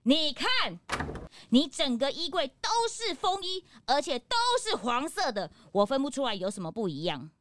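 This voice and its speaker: A woman's voice: sits high, sing-song, normal speed, a bit bright, slight background noise. A woman playing an eager, cheeky, childlike detective's assistant in a children's audio drama, exclamatory and prone to whining. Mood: eager, teasing. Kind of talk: children's radio drama acting.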